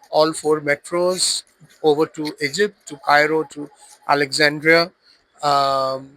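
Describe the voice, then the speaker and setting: A man, an author describing his book in a hesitant, self-deprecating way with many pauses. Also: a little high, bright, forceful tone, no echo, low-quality recording. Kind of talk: casual book pitch.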